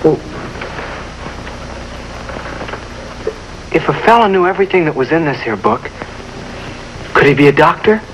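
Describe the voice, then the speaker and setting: A man's voice: fairly high pitch, lively intonation, fast, a bit bright. An actor playing a young, hot-headed but curious farm boy with a rural Southern American accent in a 1950s TV drama. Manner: folksy backwoods dialect. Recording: noisy old 1950s TV soundtrack.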